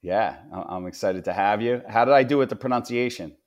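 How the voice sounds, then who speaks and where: A male podcast host with a slightly low voice. He asks friendly, hesitant questions, with plenty of fillers. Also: brisk, a little mellow. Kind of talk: business podcast interview.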